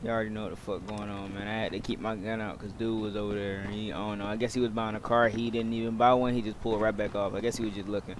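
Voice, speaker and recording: A man's voice: lowish voice, flat delivery, fast talker, few pauses. A young man giving casual, slangy, profane gameplay commentary, often muttering to himself as he plays. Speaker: gamer recording gameplay video. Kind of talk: casual gaming commentary, roleplay. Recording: loud game engine and street noise. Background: video game car and city sounds.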